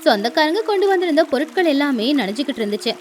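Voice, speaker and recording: A woman narrating a scripted children's story as an expressive storyteller. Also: high voice, rapid-fire, a little hiss or hum.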